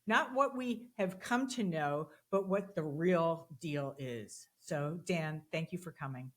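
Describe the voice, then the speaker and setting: A woman's voice: low-pitched, slow. Woman hosting a career podcast, chatty and loose, lots of ums. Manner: chatty, with fillers and repeated words. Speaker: podcast host. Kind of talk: conversational interview.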